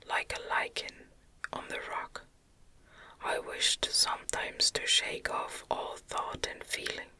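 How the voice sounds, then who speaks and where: A woman whispering a gothic novel as an ASMR reading, gently and deliberately, with soft articulation. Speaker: ASMR reader.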